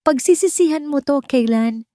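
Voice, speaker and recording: A woman's voice: high voice, moderate pace, mellow, no room sound, studio quality, pitched above the performer's own voice, close to an everyday voice. A woman voicing a scheming young fiancée in an audio drama, pleading and venomous, mixing in English. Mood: vengeful threat. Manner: mixes Tagalog with English.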